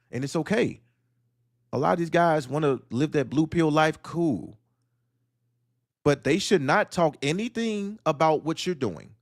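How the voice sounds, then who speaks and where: A man's voice: mid-range voice, dry recording, clean studio sound. A man hosting a solo audio livestream, delivering a blunt, preachy monologue that fires off rhetorical questions. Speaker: livestream host.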